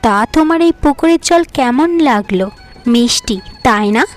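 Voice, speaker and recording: Gentle, melancholy talking goat for a fairy tale cartoon, played by a woman, raised pitch, reassuring. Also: expressive, a little slow, soft background music. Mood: gentle, welcoming. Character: sad, gentle talking goat befriending a lost girl.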